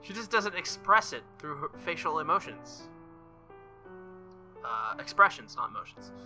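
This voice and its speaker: A man's voice: on the high side, big pitch swings, normal speed, sharp tone. A man hosting a gaming playthrough, reading visual novel text aloud and adding casual commentary, with game music behind him. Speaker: gaming channel host.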